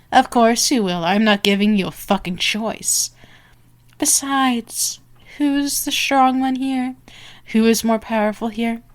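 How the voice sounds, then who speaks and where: Dominant vampire woman, played by an actress, ASMR roleplay. Very drawn-out delivery, heavy vocal fry, taunting and possessive, moving between whisper and command. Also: mid-range voice. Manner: slow, teasing, slipping between whispers and sharp commands.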